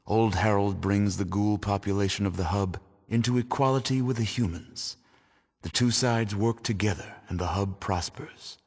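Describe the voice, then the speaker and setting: A man playing a grave storyteller who narrates the fate of a post-apocalyptic world in a solemn, measured, portentous manner for a video game. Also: sits low, slightly rough. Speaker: narrator of a game's endings. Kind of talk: scripted dramatic narration of an epilogue. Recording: long reverberant hall echo.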